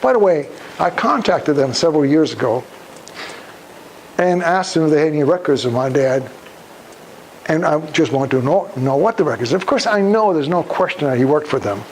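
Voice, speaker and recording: An elderly man from Poland giving a public talk, speaking deliberately in halting phrases and putting questions to his listeners. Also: a little high, animated. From Krakow, Poland. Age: over 75. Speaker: guest speaker, Holocaust survivor. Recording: noisy live room recording.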